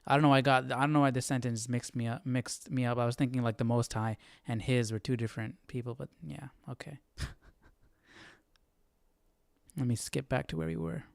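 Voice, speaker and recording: Male study host, clean and resonant mid-pitched voice, informal think-aloud delivery, dry sound. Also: fairly even intonation, quick pace, vocal fry, hardly any pauses, no echo. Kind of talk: casual study commentary and reading aloud.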